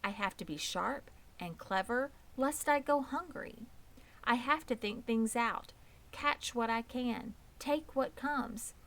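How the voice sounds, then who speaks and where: A woman's voice: fairly high pitch, slow pace, fairly bright tone, voice pushed up. A woman voicing a poised, pleasant and proud female spider in a children's storybook, composed, precise and matter-of-fact. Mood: proud, defensive. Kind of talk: children's storybook read aloud.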